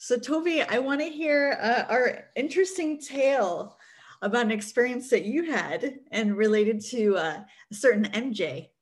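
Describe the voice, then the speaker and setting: A woman's voice: on the high side, animated, moderate pace. A woman in her forties hosting an online panel from a small home room over a video call, speaking in an upbeat, effusive way. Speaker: host.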